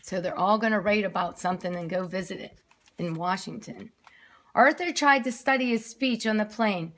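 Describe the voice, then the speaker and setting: A woman's voice: mid-range voice, normal speed, fairly bright tone. A woman reading a story aloud expressively to young children, as a nursery teacher who explains things simply.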